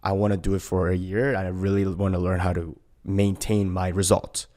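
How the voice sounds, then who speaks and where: A man's voice: deep voice, flat delivery, brisk, dark tone. A man from Korea who later moved to Canada, talking casually and emphatically as a podcast guest, often checking in with a tagged-on question. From born in Korea, later moved to Canada. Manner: emphatic, often tags sentences with "right?". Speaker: personal trainer and boxing coach, podcast guest.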